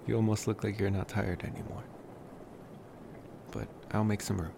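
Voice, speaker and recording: A man playing a tender, smitten young college vampire in an intimate ASMR roleplay, speaking softly and hesitantly with affectionate pauses. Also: on the low side, steady tone, vocal fry, background noise. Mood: gently teasing.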